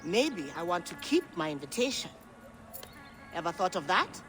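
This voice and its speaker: Brief: a sharp-tongued gambler, bright and animated, faint hiss. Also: female voice, fairly low pitch, normal speed, faint music behind. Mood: mocking. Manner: brash, mocking, animated. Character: brash cynical female gambler, quick-tongued.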